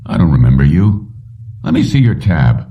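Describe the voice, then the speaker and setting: A man voicing a gruff, suspicious factory foreman in an audiobook, giving clipped, impatient orders and questions. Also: sits low, big pitch swings, moderate pace, distant mic, dull audio. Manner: brusque, clipped commands and questions. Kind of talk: audiobook character dialogue.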